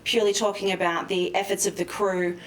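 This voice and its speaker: A woman speaking as an expert guest in a live news interview, explaining things in a measured, careful way. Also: medium pitch, level tone. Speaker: expert guest, maritime lawyer. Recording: roomy, distant mic with faint noise.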